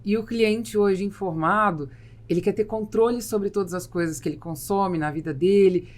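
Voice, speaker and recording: A woman's voice: medium pitch, moderate pace, darkish tone. A woman hosting a podcast, asking long probing questions. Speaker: host. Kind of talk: casual chat.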